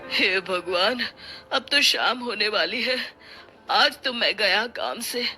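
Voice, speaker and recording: A woman's voice: medium pitch, takes it slowly, pushed and bright, faint music behind. A woman voicing a frightened, hapless village farmer man in a cartoon story, with a whining, quavering delivery. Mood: dismayed. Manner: whiny, quavering lamenting. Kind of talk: cartoon moral story voice acting.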